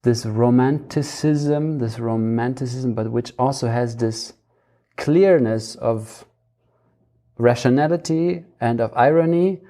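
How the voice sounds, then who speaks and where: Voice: mid-range voice, takes it slowly, dark tone. A person in a casual intellectual conversation, hesitant and searching for words. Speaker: panel discussion participant.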